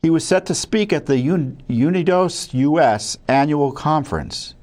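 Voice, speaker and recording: A man's voice: on the high side, expressive, measured pace, darkish tone. An American man presenting news commentary as a spokesman, part reading and part ad-libbing, often stumbling and correcting himself conversationally. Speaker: watchdog group spokesman presenting records. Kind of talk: news commentary to camera, part read out, part ad-libbed.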